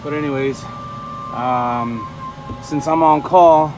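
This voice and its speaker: A man's voice: a little high, slow pace, over music, low-quality recording. A refrigeration technician talking to viewers while he tests wiring in a store's walk-in cooler, thinking aloud as he works, recorded on a nearby phone. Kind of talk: casual hands-on work vlog. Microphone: phone camera held or propped nearby. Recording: loud machinery and fan noise behind.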